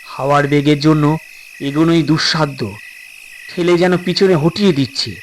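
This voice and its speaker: A man narrating a suspense story as an audiobook, in a measured, expressive and dramatic way. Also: a little high, a little hiss or hum.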